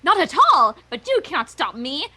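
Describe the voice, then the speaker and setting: A woman's voice: high voice, very animated, moderate pace, sharp tone, full character voice. A woman voicing a vain, theatrical female pony villain in a cartoon fan story, melodramatic in manner, on a noisy recording. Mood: gloating.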